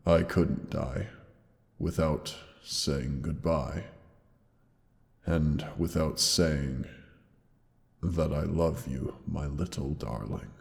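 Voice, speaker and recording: A man voicing a wounded, dying ancient dragon who speaks to his beloved with slow, weary tenderness. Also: big-room sound, heavily acted. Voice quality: deep gravelly voice. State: wounded, pained. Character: dying ancient male dragon, gentle and loving. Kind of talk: audio roleplay drama.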